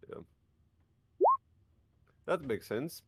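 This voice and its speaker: A man's voice: mid-range voice, expressive, drawn-out words, noisy background. A man chatting casually as a player in a tabletop game, hesitant as he works out his moves.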